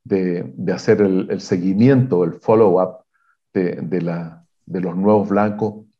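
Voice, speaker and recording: A man, a mining exploration executive, giving measured technical answers in an interview, pausing often with fillers and restarts. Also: sits low, steady tone, lo-fi sound. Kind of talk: interview answers on a video call.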